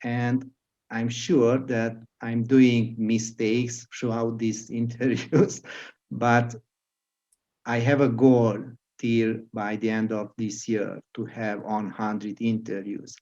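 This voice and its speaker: A man from Hungary with a Hungarian accent, hosting a podcast interview in casual chat and speaking in halting phrases with frequent restarts. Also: medium pitch, flat delivery. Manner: halting, restarts words, laughs softly. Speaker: podcast host. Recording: dull lo-fi audio.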